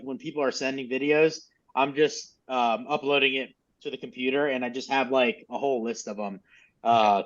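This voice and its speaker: A man coaching a group in casual, informal, mildly profane instructional talk over a lo-fi video call. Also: medium pitch. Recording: dull, lo-fi video call audio.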